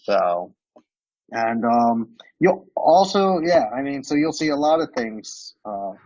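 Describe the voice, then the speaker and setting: A man's voice: medium pitch, very animated, slow, darkish tone, no echo, muffled recording. A man whose accent mixes American and Romanian, talking casually as a podcast guest and walking through what he sees on screen with many fillers. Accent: American mixed with Romanian. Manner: loose explaining with many fillers like "like" and "um". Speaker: guest expert demonstrating software.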